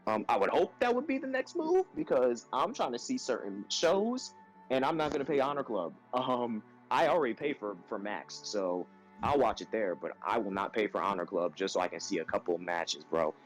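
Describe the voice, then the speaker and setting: A man's voice: on the low side, big pitch swings, normal speed, faint music behind, low-quality recording. A man from New York casually chatting on a wrestling podcast, laid-back and full of "um" and "bro". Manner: laid-back, filler-heavy, says "bro" a lot. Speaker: podcast co-host.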